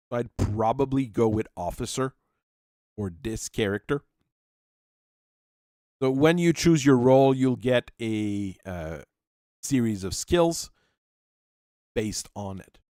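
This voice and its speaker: A man hosting a channel, working through tabletop character creation while thinking aloud and reading rules out, with halting pauses. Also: mid-range voice, no room sound, clean studio sound. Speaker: channel host.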